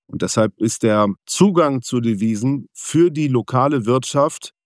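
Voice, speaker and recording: A man who grew up in Venezuela, answering interview questions in a measured, explanatory way. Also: mid-range voice, dry recording, studio quality. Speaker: interview guest, businessman.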